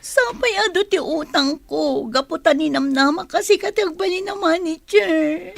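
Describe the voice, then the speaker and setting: A woman's voice: extremely high pitch, moderate pace, creaky. A woman acting a fretful, scheming female office worker in an Ilocano radio drama. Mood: worried, tearful.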